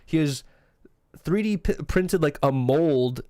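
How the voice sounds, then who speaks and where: Casual young man, fast rambling slang. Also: a little high, expressive, warm tone, no echo, clean studio sound. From Russia. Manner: rambling, lots of fillers and swearing. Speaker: podcast co-host. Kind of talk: casual podcast chat.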